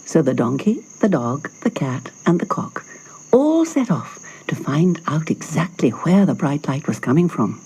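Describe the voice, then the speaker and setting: A woman reading a fairy tale as storyteller, in expressive scripted narration. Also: low voice, measured pace, slight background noise, poor audio. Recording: loud music behind the narration.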